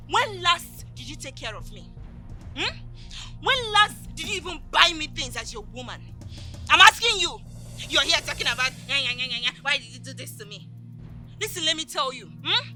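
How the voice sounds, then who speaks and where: A woman voices a sassy Nigerian girlfriend who fires off taunting, sarcastic questions at her man. Her voice is high and very bright. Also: heavily acted.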